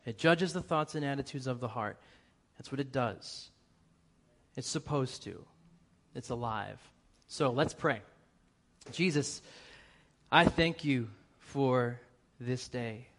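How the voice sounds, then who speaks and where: A young adult man with a faint Boston accent, preaching a lively church sermon and joking with the congregation. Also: medium pitch, slow, long pauses. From New England, US. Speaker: guest preacher.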